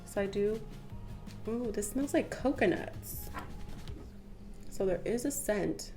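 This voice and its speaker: A young woman talks through her makeup routine in a rambling, chatty way, full of "um" and "like". Her voice is a little congested from a cold and sits at a middle pitch. She is recorded close to the camera in a small room at home, with faint music playing underneath. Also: animated, slow pace. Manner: chatty, rambling, with many "um" and "like" fillers. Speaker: beauty vlogger. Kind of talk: casual makeup tutorial chat. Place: small room at home, patterned wallpaper behind. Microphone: camera at close range, head and shoulders.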